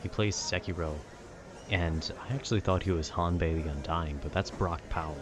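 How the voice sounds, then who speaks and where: A man with a very deep voice rambles in a casual, conversational way. He sounds nerdy and meanders from thought to thought like a gamer chatting. Clear ambient noise runs behind his voice. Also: moderate pace. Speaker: content creator. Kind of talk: casual rambling monologue about video games.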